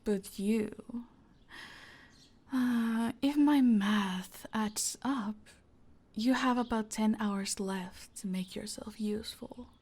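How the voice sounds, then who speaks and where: A woman playing a possessive, teasing mafia boss in an intimate audio roleplay, commanding and often dropping toward breathy whispers. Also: on the high side, takes it slowly, darkish tone, heavily acted. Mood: teasing, dominant.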